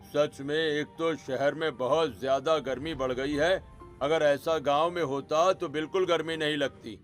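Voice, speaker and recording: A man playing an agreeable middle-aged father-in-law in an animated family story, respectful and reassuring. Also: fairly high pitch, normal speed, soft background music, lightly acted.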